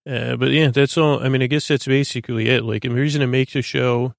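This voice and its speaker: Creaky-voiced man, meandering and lulling. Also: mid-range voice, fairly even intonation, dry recording, clean studio sound. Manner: halting, stammering, repeats and plays with words. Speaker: podcast host. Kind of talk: rambling bedtime monologue to help listeners sleep.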